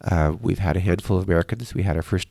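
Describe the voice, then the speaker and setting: A man with a deep, gravelly voice chats as an interview guest. He talks quickly, restarting and correcting himself as he tells anecdotes. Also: darkish tone, dry recording, clean studio sound. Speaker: guest, church concert organizer. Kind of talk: casual conversational interview, anecdotal.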